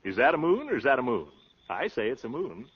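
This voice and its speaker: Dull vintage radio sound, boastful male windbag, animated pitch, florid and slangy, pompous, glib. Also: fast talker, slightly rough. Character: brash, boastful young suitor, a breezy windbag show-off. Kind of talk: 1930s radio comedy acting. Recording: dull, lo-fi 1930s radio recording.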